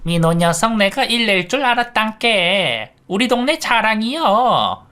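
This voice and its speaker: A man's voice: high-pitched, very animated, unhurried, sharp tone, smooth, voice pushed up, heavily acted. Man putting on a gleeful old village neighbor. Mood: overjoyed. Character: elderly village neighbor, cheering and boastful.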